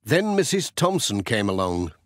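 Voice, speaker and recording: A man's voice: fairly low pitch, sing-song, moderate pace, dry recording, clean studio sound. A man narrating a children's audiobook story.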